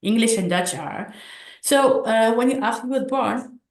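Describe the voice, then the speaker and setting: A middle-aged woman telling personal stories casually as an interview guest, haltingly, repeating words and restarting sentences. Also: on the high side. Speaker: podcast guest, author. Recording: roomy echo on the voice.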